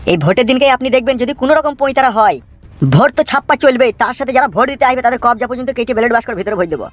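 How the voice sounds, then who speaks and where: Fast-talking swaggering cartoon gangster, acted by a man. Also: very high voice, no echo, highs cut off, faint music behind. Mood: menacing swagger. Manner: crude, cocky, bragging. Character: swaggering boastful male gangster and mafia fixer. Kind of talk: comedy cartoon dubbing.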